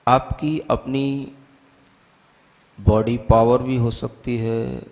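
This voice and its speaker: A man with an Indian accent leading a guided meditation as a spiritual teacher, giving calm, deliberate instructions with long pauses. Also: mid-range voice. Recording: long hall-like reverb.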